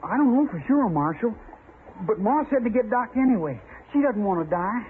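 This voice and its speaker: A plain-spoken young frontier girl, played by a woman, talks in a high voice with a rural American accent. She sounds anxious and earnest, in old radio Western style. The recording is narrow and faintly noisy. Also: animated, normal speed, close to an everyday voice. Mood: worried, plain. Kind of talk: 1950s Western radio drama acting.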